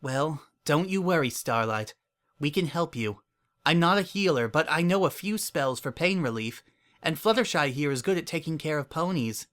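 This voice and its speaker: A man acts out a helpful female unicorn in a dramatic story reading. The voice sits higher and speaks gently, with a careful, friendly manner. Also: expressive, normal speed. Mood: kind, reassuring.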